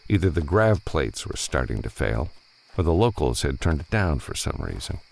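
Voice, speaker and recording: A man with an extremely deep, gravelly voice narrates a science fiction audiobook. His pitch moves up and down in a lively way as he reads the scripted prose. The delivery is that of a storyteller reading a novel. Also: moderate pace.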